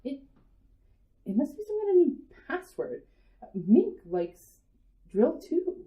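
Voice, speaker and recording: Woman playing a patient mom, gentle, indulgent, high voice, muffled lo-fi recording. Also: very animated, takes it slowly, heavily acted. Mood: chatty. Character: busy suburban mother, mild and patient. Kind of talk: amateur radio drama acting.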